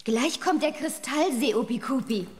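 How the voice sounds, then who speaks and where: A woman's voice: high-pitched, expressive, normal speed, slightly rough. A woman playing a determined, spirited young girl adventurer in a children's audio drama, earnest and urgent. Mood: watchful, hopeful.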